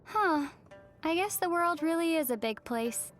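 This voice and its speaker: Young female otherworldly traveler for an anime game: high, gentle, musing, faint music behind. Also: animated, a little slow, full character voice. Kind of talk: scripted anime game dialogue.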